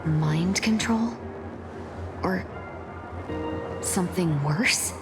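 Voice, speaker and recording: An actress playing a poised, wry, careful female adviser in a game, with refined, deliberate delivery. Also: lowish voice. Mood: wary, weary. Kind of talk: scripted game dialogue. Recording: music behind the voice.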